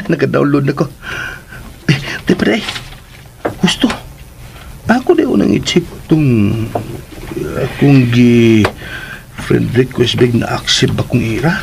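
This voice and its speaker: A cheerful, lovelorn young man in a radio drama, chatting expressively in a Filipino Cebuano accent. His pitch swings freely and his voice has some fry. There is faint noise behind him. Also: takes it slowly, pauses often. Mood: playful, musing. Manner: expressive, chatty, talking to himself.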